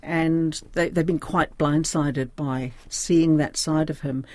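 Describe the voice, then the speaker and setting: A woman's voice: low-pitched, steady tone, slow, a little mellow, no echo. Hesitant older New Zealand woman, close dry sound. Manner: hesitant, thoughtful, searching for words. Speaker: interview guest, diary editor. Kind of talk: reflective unscripted interview.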